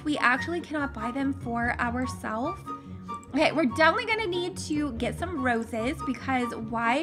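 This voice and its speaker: A young woman chatters bubbly and gushing over a game, her high voice a little worn because she is losing it. Gasps and giggles slip between her lines. Upbeat background music plays clearly beneath her. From Canada. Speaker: gaming channel host. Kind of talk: casual game commentary.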